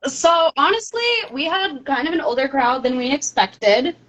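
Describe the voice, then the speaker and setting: A woman's voice: sits high, animated, moderate pace, a bit bright, a little hiss or hum, poor audio. A woman talking casually as an interview guest, with a dry, frank way of speaking. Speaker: poetry show organizer.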